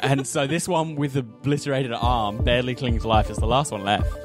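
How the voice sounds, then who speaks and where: A man's voice: medium pitch, fairly even intonation, a little fast. Amused male game master over prominent music. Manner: amused, laughs easily. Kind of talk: narrating a tabletop roleplaying game. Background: music bed.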